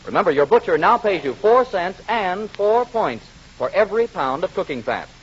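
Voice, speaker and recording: A man's voice: sits high, big pitch swings, normal speed, a little hiss or hum. A man working as a 1940s radio announcer, reading sponsor messages in an emphatic, salesman-like delivery. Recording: dull 1940s radio broadcast recording.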